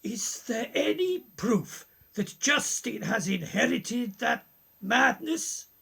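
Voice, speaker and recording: A woman's voice: a little high, animated, slow pace, creaky, fairly bright tone, husky, full character voice. A woman playing a courteous, concerned professor in a radio play, formal and questioning. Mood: puzzled, probing. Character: concerned, courteous professor, a sceptical family friend.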